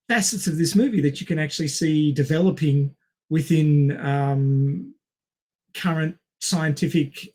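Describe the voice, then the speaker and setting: A man co-hosting a casual film discussion, explaining things in a wordy, halting way with tangents. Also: a little high, darkish tone. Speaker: podcast co-host.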